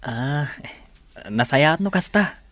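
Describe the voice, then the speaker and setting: A man's voice: a little high, expressive, takes it slowly, fairly bright tone, no echo. An actor playing an easygoing, teasing young male cousin in an Ilocano radio drama.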